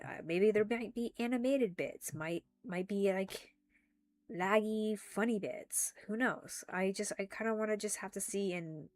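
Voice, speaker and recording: A woman's voice: on the low side, no echo. A young woman streaming live, talking casually and rambling with frequent restarts and giggles, a little congested.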